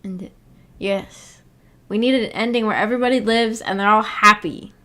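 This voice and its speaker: A woman's voice: medium pitch, normal speed, sharp tone. Woman chatting casually, thinking aloud. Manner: hesitant, rambling, thinking aloud. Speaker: livestream host. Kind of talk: casual livestream chat.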